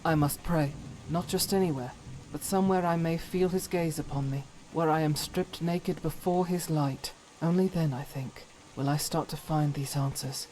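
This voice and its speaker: An actress playing a devout, troubled warrior woman in a fantasy game, solemn and earnest with halting delivery. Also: sits low, dark tone, lightly acted, low-quality recording. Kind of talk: dramatic fantasy game voice acting.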